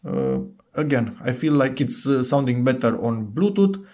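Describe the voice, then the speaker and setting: A man's voice: mid-range voice, very animated, moderate pace, warm tone. A man presenting a DIY electronics test, informally thinking aloud as he goes. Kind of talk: casual hands-on product test commentary.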